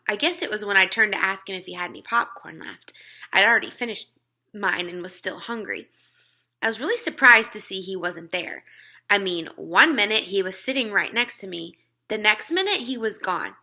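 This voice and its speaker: A woman narrating a children's book aloud in an expressive style. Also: mid-range voice. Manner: acts out dialogue.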